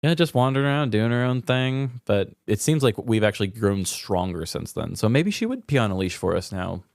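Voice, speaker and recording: Female podcast co-host with a low-pitched voice, casual conversational storyteller, dry studio-like sound. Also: very animated, brisk, darkish tone, dry recording. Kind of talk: casual chat, personal storytelling.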